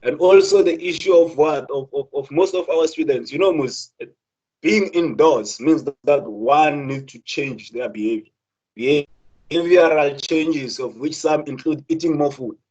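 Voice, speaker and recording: Earnest South African man, husky voice. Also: fairly high pitch, a little slow, a little mellow, highs cut off. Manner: earnest, many repeated words and restarts. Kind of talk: impassioned spoken talk.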